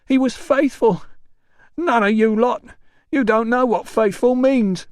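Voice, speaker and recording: A man's voice: high-pitched, moderate pace, darkish tone, no room sound, studio quality, pitched above the performer's own voice. A man voicing a drunk, weepy army hospital porter who wails and slurs in grief. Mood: wailing drunk. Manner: slurred, wailing, grief-stricken.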